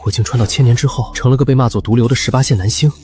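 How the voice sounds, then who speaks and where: An actor playing a lofty, unruffled ancient court sorcerer with formal, archaic speech, in an animated drama. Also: male voice, medium pitch, rapid-fire, natural voice. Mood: wry. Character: haughty ancient male court sorcerer stranded in modern times. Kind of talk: dramatic monologue and dialogue for animated story.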